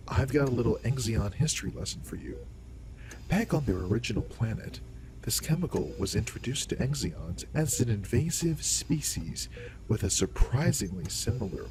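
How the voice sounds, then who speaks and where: A man's voice: on the low side, a little mellow, close to an everyday voice, low-quality recording. A man playing a courteous, authoritative alien doctor in an audio roleplay, speaking in a formal, explanatory way.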